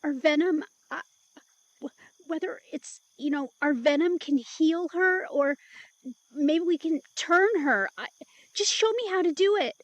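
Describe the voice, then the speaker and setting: A woman playing a soft-hearted, pleading young vampire in an audio drama, speaking haltingly and emotionally. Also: sits high, no room sound, highs cut off. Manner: halting, emotional, breaks sentences off. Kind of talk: immersive audio drama acting.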